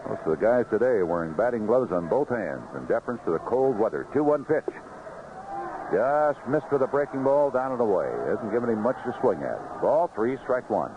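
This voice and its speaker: Man, American accent, radio baseball play-by-play, very lively pitch swings, dull lo-fi sound, clear background noise. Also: fairly quick. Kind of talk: live radio play-by-play commentary. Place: ballpark broadcast booth. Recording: noisy, muffled vintage AM radio broadcast.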